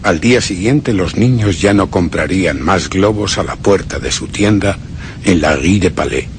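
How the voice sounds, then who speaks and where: Actor as deep-voiced, brooding, vengeful betrayed husband. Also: male voice, slight background noise, close to an everyday voice. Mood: ominous resolve. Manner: brooding, theatrical, deliberate storytelling with contemptuous edge. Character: embittered, vengeful cuckolded Frenchman, secretly plotting murder. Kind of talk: dramatic first-person radio play monologue.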